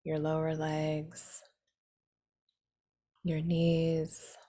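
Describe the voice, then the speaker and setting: A woman leading a guided meditation in a soothing, instructing manner, some phrases softening into a breathy near-whisper. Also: sits low, monotone, extremely slow.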